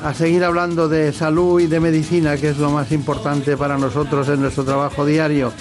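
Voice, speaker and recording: A man's voice: on the high side, flat delivery, fairly quick, music in the background. A man hosting a radio health show, interviewing a guest in a chatty way with frequent "eh" fillers.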